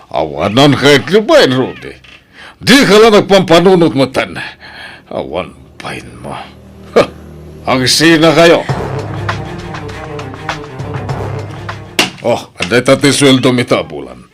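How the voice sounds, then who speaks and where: A man's voice: mid-range voice, huge pitch swings, unhurried, soft background music. An actor playing a stern, angry rich father in a radio drama, scolding and domineering, with an Ilocano accent. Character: stern, angry rich father forbidding his daughter's romance.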